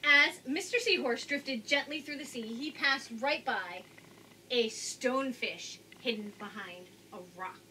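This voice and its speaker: Casting: woman in her thirties, expressive children's storybook reader, bright high voice. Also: a little slow, low-quality recording. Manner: expressive, addressing young children. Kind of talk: children's picture-book read-aloud. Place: indoors, small room with curtain backdrop. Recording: faint background noise.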